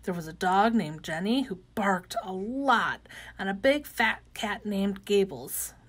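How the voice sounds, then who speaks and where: Woman voicing an excitable little storybook caterpillar, unhurried. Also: medium pitch. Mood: happy wonder. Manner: gentle, expressive, with excited exclamations. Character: cheerful, wide-eyed young monarch caterpillar turned butterfly. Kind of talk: animated read-aloud of children's picture book.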